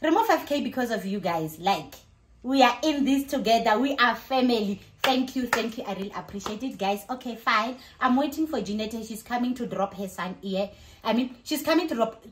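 The South African woman you would hear filming a chatty home vlog for her followers, high-voiced, expressive, slipping between languages. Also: normal speed. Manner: excited, expressive. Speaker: vlogger. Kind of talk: casual vlog chat mixing in another language. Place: living room and kitchen at home.

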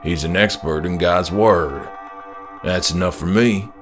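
A man's voice: sits low, measured pace, soft background music. A man voicing a stubborn, devout middle-aged father with a folksy, stern, preachy manner in an audiobook. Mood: calm conviction.

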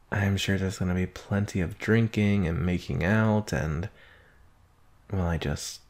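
A man's voice: low voice, creaky, heavily acted. A man playing a nervous young werewolf student with a crush, speaking hesitantly and intimately in an ASMR roleplay. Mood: bashful, awkward. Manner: hesitant, bashful, halting, sighing.

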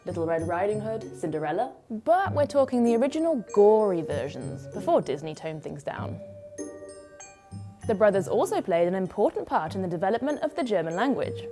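A woman in her thirties presenting a travel show, speaking to camera in an upbeat, scripted way. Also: lowish voice. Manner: lively, upbeat storytelling. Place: outdoors in town streets and vineyards.

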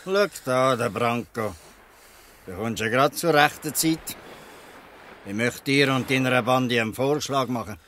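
An actor playing a gruff but good-hearted old fisherman in a Swiss German dialect radio play, scolding and exclaiming. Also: medium pitch, a little slow, a bit bright, slight background noise, natural voice. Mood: calm, curious. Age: older.

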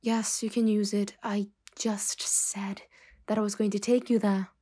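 Woman doing a mafia boss lady for asmr, slow n measured, kinda condescending but soft, drops near a whisper. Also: mid-range voice, level tone, slightly rough. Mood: calm, reassuring. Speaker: ASMR roleplay performer. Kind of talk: slow, intimate ASMR roleplay.

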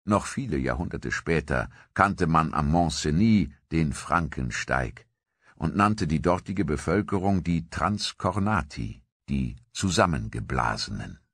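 Male documentary narrator, deep and husky, measured storyteller for scripted history. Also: expressive, fairly bright tone, no echo.

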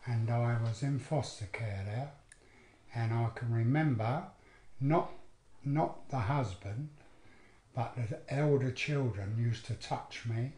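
Slow older London man, roomy sound. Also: mid-range voice, warm tone. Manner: slow, plain, pausing. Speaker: interview guest, abuse survivor. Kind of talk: recounting memories. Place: living room, indoors.

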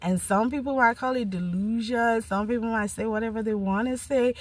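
A woman's voice: on the high side, moderate pace. A woman hosting a podcast, sharing a personal spiritual testimony in an earnest, reflective way, often repeating words as she starts a thought. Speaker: podcast host.

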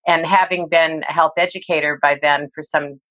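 An older woman, a guest expert, telling her story in a reflective, measured way during an interview. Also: low-pitched, fairly even intonation, fairly bright tone, dry recording, muffled recording.

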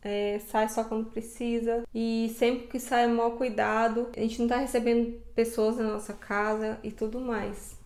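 A woman's voice: fairly high pitch, little pitch movement, normal speed, few pauses, darkish tone, echoey room. A Brazilian woman in her thirties chatting casually to camera as a vlogger, colloquial and explanatory. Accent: Brazilian Portuguese. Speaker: travel vlogger. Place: indoor living room, seated on sofa. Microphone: lapel mic.

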